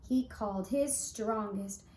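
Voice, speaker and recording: A woman narrating a children's fairy tale as a puppet show storyteller, deliberately, with long pauses between phrases. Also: mid-range voice, a little mellow. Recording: roomy, echoey sound.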